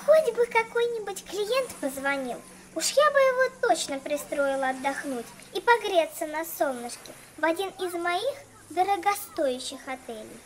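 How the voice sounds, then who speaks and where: Casting: woman playing haughty, greedy cartoon queen girl, very high voice, melodramatic and swooping. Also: big pitch swings, moderate pace, hardly any pauses, a little hiss or hum. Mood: bored, theatrical. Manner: theatrical, grandiose. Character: bored, haughty, greedy Egyptian queen-like receptionist girl doll. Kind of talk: children's cartoon dubbing.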